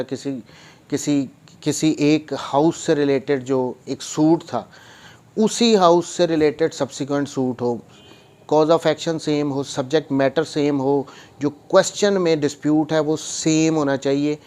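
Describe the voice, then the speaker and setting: Male lecturer, voice a little on the high side, methodical explainer, repeats for emphasis, code-switches. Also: darkish tone. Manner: code-switches between Hindi and English; methodical, repeats key points. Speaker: law lecturer. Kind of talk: explanatory law lecture, reading provisions then explaining them.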